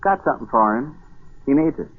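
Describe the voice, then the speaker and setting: A man's voice: medium pitch, lively intonation, a little fast, close to an everyday voice. A man playing a plain, curious New England farmer with a hesitant, wondering manner in a 1940s radio drama. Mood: insistent. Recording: narrow, muffled 1940s radio recording.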